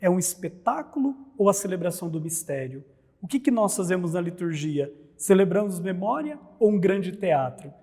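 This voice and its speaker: A Brazilian priest lectures earnestly, posing rhetorical questions and answering them. His voice sits high with a husky, mellow edge, and a long hall reverb trails behind it. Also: expressive, moderate pace. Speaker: priest, liturgy scholar. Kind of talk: explanatory religious talk.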